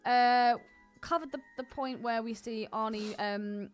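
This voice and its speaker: A woman's voice: fairly high pitch, steady tone, a little slow, faint music behind. A woman co-hosting a podcast with casual film commentary, blunt, sweary and teasing in manner. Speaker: podcast co-host.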